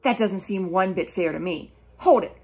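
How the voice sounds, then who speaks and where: A middle-aged woman reading a children's book aloud, animated and emphatic, breaking off to question her young listeners. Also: lowish voice, normal speed, slightly rough. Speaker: storybook reader for children. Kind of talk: children's read-aloud with asides. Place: small room at home, indoors.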